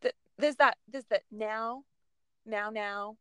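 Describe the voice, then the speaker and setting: A woman's voice: fairly high pitch, slow, clean tone, dry recording. A woman in her thirties with an Australian accent, chatting casually and telling stories with lots of ums. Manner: chatty, animated storytelling with frequent ums. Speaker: guest. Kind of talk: casual chat.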